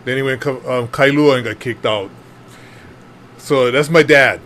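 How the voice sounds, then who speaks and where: A man's voice: mid-range voice, a little slow, fairly bright tone, slight background noise. A man in his fifties from Hawaii with a Hawaiian Pidgin-tinged accent, telling his story to a group in a chatty, self-deprecating way with lots of fillers and laughs. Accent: Hawaiian Pidgin-tinged English. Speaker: panel speaker.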